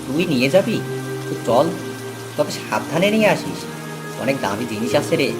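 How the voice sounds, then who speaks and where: A man's voice: mid-range voice, sing-song, moderate pace, close to an everyday voice. A man playing a kindly, familiar village man with goods to carry in a moral-story cartoon, with rain sounds behind him. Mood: friendly, cautious. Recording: music and rain under the voice.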